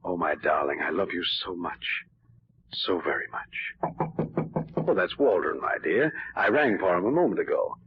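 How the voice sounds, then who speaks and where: An actor playing a well-off husband in a 1940s radio drama, with a formal, theatrical delivery. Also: male voice, low voice, lively intonation, normal speed, soft background music. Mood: tender, composed. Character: well-off husband, anxious, shattered by his wife's death. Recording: dull, noisy old radio recording.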